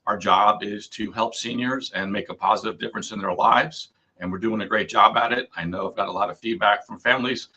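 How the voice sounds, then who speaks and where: A hoarse man, an insurance agent, explaining earnestly as a guest on a radio interview. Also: lowish voice, steady tone, fairly quick, hardly any pauses, sharp tone, dull audio.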